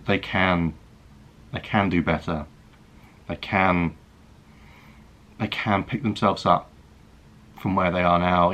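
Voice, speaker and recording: A British man in his thirties, a food and travel vlogger, talking earnestly and reflectively to camera, with many halting restarts. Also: deep voice, lo-fi sound. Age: 30s. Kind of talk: earnest personal talk to camera.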